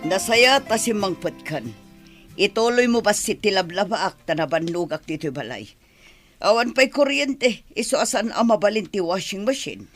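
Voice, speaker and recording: A woman's voice: mid-range voice, animated, moderate pace, faint music behind, lightly acted. A woman playing a bossy, callous older mother-in-law in a radio drama, nagging and demanding. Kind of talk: Ilocano radio drama acting.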